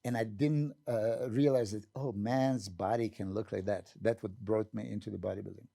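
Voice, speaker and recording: Older serbian guy, accent, gravelly dark voice, dry close sound, lots of uh's. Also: lowish voice, fairly even intonation, moderate pace, dry recording. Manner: earnest, hesitant with many fillers. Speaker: guest, former pro bodybuilder. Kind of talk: storytelling interview answer.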